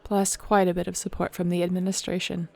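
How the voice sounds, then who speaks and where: A woman acting in an audio roleplay as a warm young shapeshifter clan leader, talking casually and sincerely. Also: on the low side, completely flat delivery, brisk, vocal fry, a faint crowd. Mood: fond, sincere. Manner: casual, sincere, conversational storytelling.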